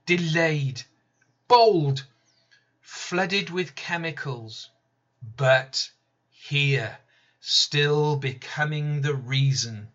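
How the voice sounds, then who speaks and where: A man reading his own poem slowly, measured, with sudden loud emphatic rises and soft drops. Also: fairly high pitch. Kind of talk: slow spoken-word poetry reading. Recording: slightly dull lo-fi recording.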